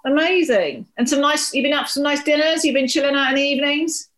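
A woman's voice: high voice, fast, fairly bright tone. A British woman co-hosting a podcast in casual, chatty, informal conversation. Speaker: podcast co-host.

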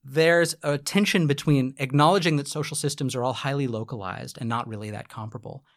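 Measured explanatory male podcast host. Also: mid-range voice. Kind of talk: casual academic discussion.

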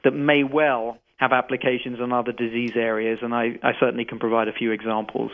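A man's voice: mid-range voice, level tone. A man, a company chief executive, answering interview questions carefully, with frequent restarts. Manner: measured, careful, restarts often. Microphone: phone. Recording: tinny phone line.

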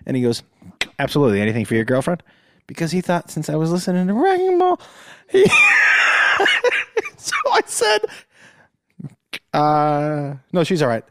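Animated man telling funny stories. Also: unhurried, pauses often, background noise. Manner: animated storyteller, bursts into high-pitched singing and laughter. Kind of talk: casual comedic chat.

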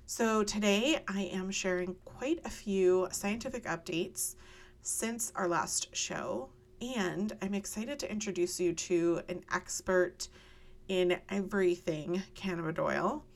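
Woman podcast host with creaky voice. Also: medium pitch, slow pace. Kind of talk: scripted podcast introduction.

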